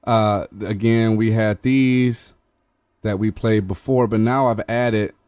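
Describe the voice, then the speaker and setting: Low-voiced man explaining a mix. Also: slow, clear, resonant tone, no room sound. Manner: relaxed, explanatory, with frequent restarts and fillers. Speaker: host demonstrating music mixing. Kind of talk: casual tutorial walkthrough.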